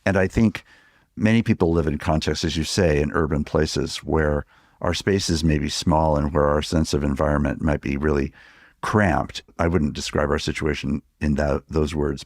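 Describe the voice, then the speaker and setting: American guy interviewing on a podcast, super deep voice, thoughtful and measured, pauses between phrases. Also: a little mellow. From Pacific Northwest, United States. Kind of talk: reflective interview conversation.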